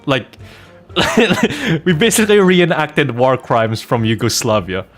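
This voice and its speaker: A man streaming a game, chatting casually and telling anecdotes in an animated, joking way with mock innocence. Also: a little high, slow, faint music behind. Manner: animated, jokey storytelling with mock-innocent protests. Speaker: streamer playing a video game and host of the channel.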